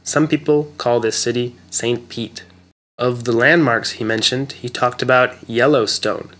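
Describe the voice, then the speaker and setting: Man explaining English slowly. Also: mid-range voice, steady tone, fairly bright tone. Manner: clear, careful explaining. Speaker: English teacher. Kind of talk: slow scripted lesson narration.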